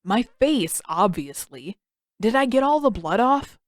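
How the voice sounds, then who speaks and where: A woman's voice: a little high, sing-song, unhurried, no room sound, clean studio sound. A woman voicing a vain, defensive teenage boy in an audiobook story, casual and exasperated in manner. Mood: self-conscious, teasing.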